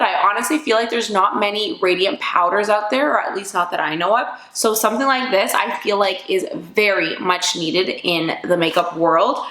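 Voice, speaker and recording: A woman vlogger reviewing makeup in a casual, bubbly, chatty way, her voice a little raspy from just waking up. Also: medium pitch, a bit bright. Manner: bubbly and chatty, full of fillers. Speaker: beauty vlogger. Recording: echoey room.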